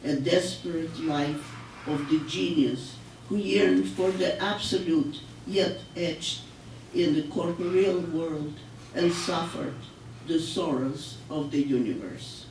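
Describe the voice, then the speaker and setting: An older woman reading her poetry aloud in a measured, solemn way with deliberate pauses. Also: low voice, darkish tone. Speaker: poet. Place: public library room, live reading. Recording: echoey room, distant mic, noisy.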